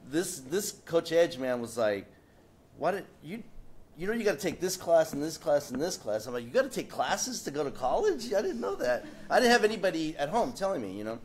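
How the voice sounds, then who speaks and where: A man on a discussion panel telling a lively personal story in a casual, animated way. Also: on the high side, a little fast.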